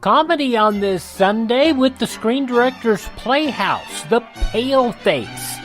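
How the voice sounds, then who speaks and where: American guy doing radio host history rundown, slightly high voice, dry close sound. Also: no room sound. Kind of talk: scripted radio narration of this-day-in-history facts.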